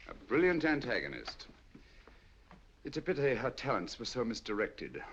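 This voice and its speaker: An actor playing a cool, brilliant middle-aged detective in 1940s film style, precise and dryly ironic. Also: male voice, medium pitch, huge pitch swings, normal speed, background noise. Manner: crisp, dry, ironic, precise. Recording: dull lo-fi 1940s film soundtrack with music.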